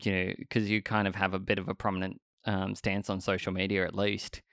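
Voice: low-pitched, flat delivery, brisk, a bit bright, clear, resonant tone, dry recording, studio quality. An interviewer asking long, rambling questions padded with fillers. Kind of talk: casual interview chat.

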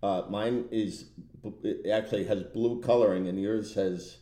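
A man co-hosting a podcast in casual, bantering chat with a loud, animated voice and a roomy, echoey sound. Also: fairly low pitch, normal speed. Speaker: podcast co-host. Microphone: own podcast microphone.

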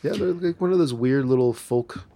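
Deep, gravelly-voiced male guest, easygoing and rambling. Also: moderate pace. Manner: relaxed, rambling, trails off mid-thought. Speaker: podcast guest. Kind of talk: casual chat.

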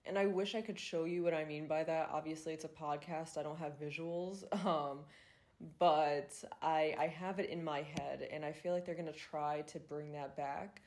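A young woman in her twenties with a low voice talks through a solo podcast. She sounds stuffy and nasal, rambling casually with plenty of "like"s and the odd curse word. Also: fairly even intonation, normal speed, a little mellow. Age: 20s. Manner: chatty, filler-heavy, swears freely. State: congested, nasal. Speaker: podcast host.

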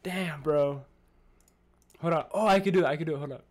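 A man's voice: on the high side, fairly quick. A man co-hosting a casual podcast, rambling and swearing freely in loose chat. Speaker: podcast co-host.

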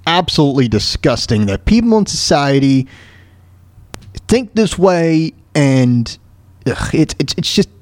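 A male podcast host with a high, very animated voice, recorded dry and close. He rants in casual, opinionated bursts, stumbling and repeating himself in exasperation. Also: no room sound. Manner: halting, repetitive, exasperated, throat-clearing. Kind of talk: opinionated rant, casual talk.

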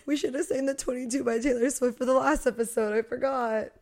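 A woman's voice: high-pitched, fairly even intonation, fairly quick, darkish tone. A woman in her late twenties co-hosting a podcast, chatting casually and laughing often. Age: 20s. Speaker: podcast co-host. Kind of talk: casual chat.

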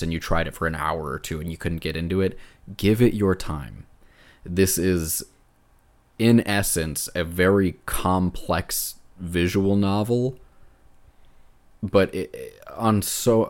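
A man co-hosting a casual video game discussion, speaking as an earnest, emphatic enthusiast. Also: deep voice. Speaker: podcast co-host.

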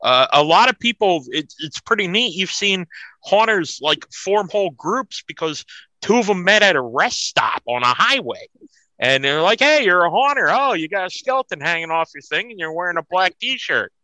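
A man chatting casually in an animated way, telling little stories and acting out quotes. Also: sits high, normal speed, no room sound, poor audio. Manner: animated storyteller, acts out quotes. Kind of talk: casual chat.

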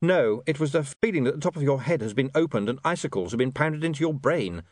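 A man portrays an earnest, cautious young man in a storybook. The voice is raised and animated, slightly awkward, recorded dry and close. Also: on the high side, fast talker, dry recording, voice pushed up. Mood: insistent.

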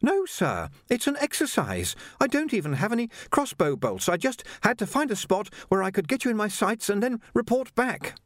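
A man voicing a bright, eager young woman assassin. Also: medium pitch, very animated, normal speed, hardly any pauses, dry recording, clean studio sound, voice pushed up, lightly acted. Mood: earnest, polite. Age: young adult. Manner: polite, eager, deferential. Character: earnest polite young female trainee assassin.

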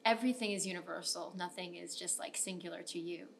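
A woman's voice: on the low side, fast, creaky, background noise. A woman hosting a podcast in a casual, informal interview style, often peppering her questions with "like". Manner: informal, frequent use of "like". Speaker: podcast host.

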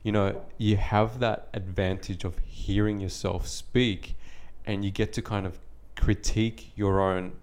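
A man's voice: fairly low pitch, level tone, unhurried, hardly any pauses, a little mellow. A man from Australia co-hosting a podcast, chatting in a friendly, reflective way. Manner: friendly, reflective, easygoing storytelling. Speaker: podcast co-host. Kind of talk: casual podcast chat.

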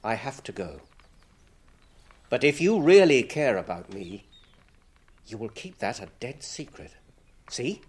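An actor playing a mild, thoughtful, genteel hobbit with a British accent, gentle and well-spoken, in a radio drama. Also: male voice, medium pitch, sing-song, measured pace, pauses often, husky. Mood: firm, teasing.

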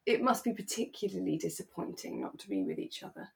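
A woman co-hosting a podcast, talking casually and giving her opinions gently, with hesitant restarts. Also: lowish voice, wildly swooping pitch, fast, vocal fry. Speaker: podcast co-host. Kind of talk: casual chat reviewing a radio drama.

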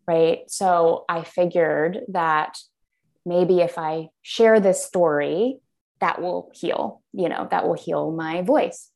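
A woman with a somewhat low voice shares her story on a podcast. She talks in a halting way, often stopping and starting again with fillers. She laughs softly at herself now and then. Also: fairly even intonation, vocal fry. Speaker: podcast guest. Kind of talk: candid personal storytelling.

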